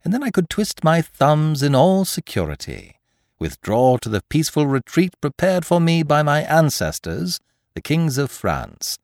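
Guy putting on a smug playful thief from an old novel, mocking tone, pitch jumping all over, dry recording. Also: dry recording. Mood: theatrical. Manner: teasing, theatrical swings in pitch. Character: cocky male gentleman thief, mocking and playful. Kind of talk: audiobook character reading.

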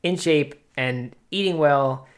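A man's voice: on the high side, slow. A man in his late thirties co-hosting a podcast, talking casually and rambling with lots of fillers and restarts. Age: 30s. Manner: rambling, self-deprecating, many fillers. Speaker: podcast co-host. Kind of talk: casual chat.

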